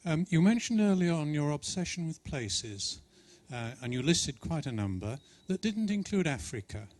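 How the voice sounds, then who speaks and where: A man's voice: on the high side, sing-song, moderate pace, darkish tone, no echo. Courteous man questioning from the audience. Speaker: audience member asking a question. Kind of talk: question from the floor.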